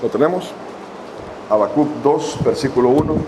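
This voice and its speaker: A man preaching a sermon as a pastor, reading scripture slowly and addressing his congregation with long pauses. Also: mid-range voice, background noise. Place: church hall.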